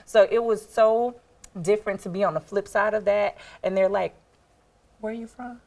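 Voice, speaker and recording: A woman's voice: mid-range voice, steady tone, moderate pace, darkish tone. A woman from Texas with a Texan accent, a panel guest, chatting expressively and laughing easily in a casual studio chat.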